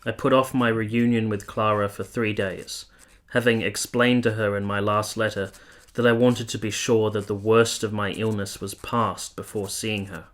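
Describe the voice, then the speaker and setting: Male actor as young officer, lowish voice, earnest, tender, measured literary phrasing, period radio play. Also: level tone, a bit bright, close to an everyday voice. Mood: reflective, wistful. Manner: measured, sincere, literary delivery.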